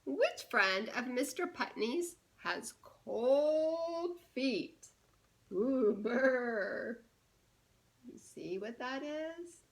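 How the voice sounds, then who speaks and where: Playful high-pitched woman reading to children. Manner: playful, expressive, drawn-out delivery of key words with teasing pauses. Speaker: children's storybook reader and church children's ministries director. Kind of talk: read-aloud story time for young children, asking guessing questions.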